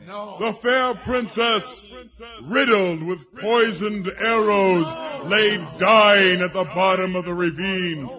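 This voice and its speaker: A man with a notably high voice delivers mock-epic fantasy narration. He is slow and grandiose, theatrical in his swells and drops. The sound is somewhat dull, with a crowd audible behind him. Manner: grandiose, theatrical, swelling to shouts and dropping low. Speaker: storyteller.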